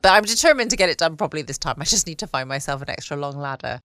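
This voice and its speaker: A woman's voice: sits low, lively intonation, racing, fairly bright tone, dry recording, studio quality. A British woman chatting casually as the host of a late night bedtime podcast. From United Kingdom.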